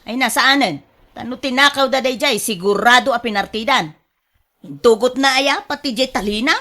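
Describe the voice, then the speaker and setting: A woman with an Ilocano accent acting as a sly, fast-talking livestock seller in a radio drama, animated and exclamatory. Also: on the high side. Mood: agitated.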